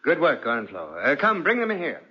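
An actor playing a coldly polite, jealous aristocratic husband in 1940s radio drama, suave, deliberate and mock-affectionate. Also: male voice, on the high side, wildly swooping pitch, husky, full character voice. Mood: commanding, mocking. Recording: dull, band-limited 1940s radio recording.

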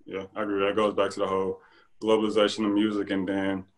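Man, quick talker, low voice, podcast host on a video call, relaxed. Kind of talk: casual video-call interview.

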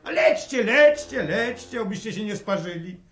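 An actor playing a resentful farmer son in a radio play, grumbling and sarcastic in rural speech. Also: male voice, high voice, lively intonation, moderate pace, a bit bright, soft background music. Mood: angry, bitter. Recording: roomy, noisy radio recording.

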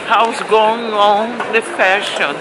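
A woman who is the vlogger's mother, a native Portuguese speaker talking in halting, broken English outdoors on city streets. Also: mid-range voice, fairly even intonation. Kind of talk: casual chat. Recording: loud crowd and traffic behind.

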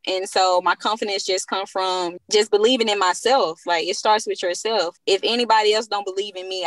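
A woman in her thirties, a business co-founder guest, speaking confidently and motivationally in relaxed phrasing. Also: mid-range voice, no room sound, rough recording. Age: 30s. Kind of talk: casual interview chat.

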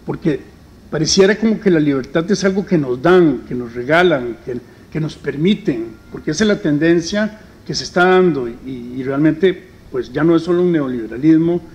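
A man in his fifties speaks at a panel forum into a table mic. His voice sits a little high, and his delivery is earnest but halting, full of fillers as he searches for words. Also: animated, a little mellow. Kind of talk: public forum talk. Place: meeting hall with a panel table.

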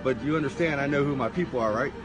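A man's voice: mid-range voice, very fast. A man in his fifties, a police officer, speaking tersely and confrontationally outdoors, caught on a bystander's phone. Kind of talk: terse confrontational street exchange. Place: outdoors on a residential street. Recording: distant phone clip with music.

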